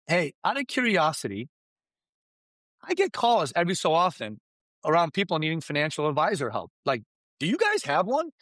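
Guest expert, male, first language Farsi, animated and emphatic, lively pitch, dry close sound. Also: dry recording. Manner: emphatic, animated, keeps asking "right?" and "you understand?". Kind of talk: energetic business coaching talk.

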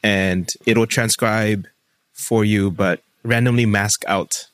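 A man from Singapore with a light Singaporean English accent, hosting an interview with quick, joking asides. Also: fairly low pitch, steady tone, a bit bright, smooth, dry recording. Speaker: podcast host. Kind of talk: casual interview questions.

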